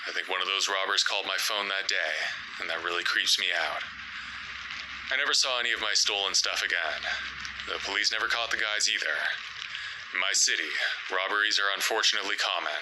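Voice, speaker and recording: A man's voice: sits low, brisk, noisy background. A man giving a scripted first-person narration of a creepy true story.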